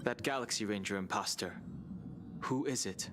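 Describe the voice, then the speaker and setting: A man's voice: fairly low pitch, moderate pace, close to an everyday voice. An actor playing a skeptical, probing male character in a video game. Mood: doubtful. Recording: game music over the voice. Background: loud game music.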